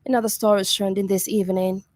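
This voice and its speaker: A woman's voice: mid-range voice, little pitch movement, fast talker, background noise. A woman with a Zambian accent reading a scripted news bulletin as a radio news reader. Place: radio studio.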